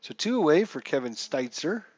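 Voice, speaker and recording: A man streaming casual play-by-play commentary of a baseball game, with announcer-like calls and pauses. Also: on the high side, big pitch swings, a little mellow, breathy edge, no echo, dull audio. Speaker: live streamer, host.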